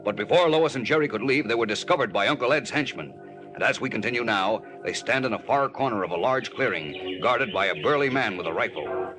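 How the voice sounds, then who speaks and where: A man narrates an adventure serial in 1940s radio style. His mid-pitched voice is emphatic and full of suspense. Music plays clearly behind him on the old recording. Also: animated, a little fast, rough recording. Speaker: announcer, narrator.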